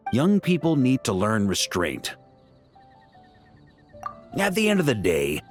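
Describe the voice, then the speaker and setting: A man acting as a seasoned knight commander from a fantasy video game, older and fond of drink. He talks in an easygoing, boastful way with a lively, swinging intonation at a medium male pitch. Music plays behind him. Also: lightly acted. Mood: wry, confident. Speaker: video game character voice actor. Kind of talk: conversational video game dialogue.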